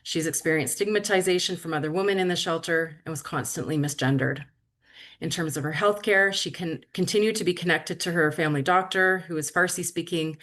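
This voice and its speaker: A woman giving a conference presentation, measured and reading from notes with occasional fillers. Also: low voice, fairly bright tone.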